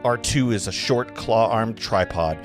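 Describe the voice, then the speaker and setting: A man narrating stage directions in a live table read of a film script, sometimes halting and stumbling over the text. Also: fairly low pitch, over music.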